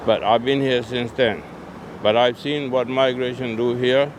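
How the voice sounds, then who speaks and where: A man's voice: medium pitch, steady tone, unhurried, low-quality recording. Older man from Pakistan, blunt. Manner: blunt, complaining, simple phrasing. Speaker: street interviewee. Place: outdoors on a city street. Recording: street noise in background.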